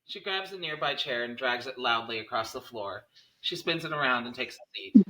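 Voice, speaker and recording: A man's voice: medium pitch, normal speed, fairly bright tone, rough recording. A man narrating the stage directions of a screenplay at a table read.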